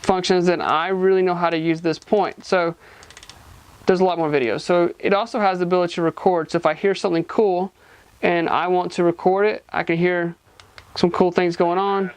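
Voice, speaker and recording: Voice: mid-range voice, steady tone, normal speed, clean tone. A person giving a casual, hands-on product demonstration, explaining informally step by step. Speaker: gadget reviewer.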